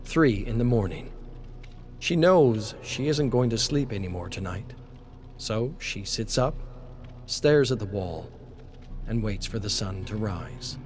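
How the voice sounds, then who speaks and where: A man's voice: lowish voice, expressive, a little slow, long pauses, a little mellow. A man reading a scripted dramatic story as a narrator. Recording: voice over background music. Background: music.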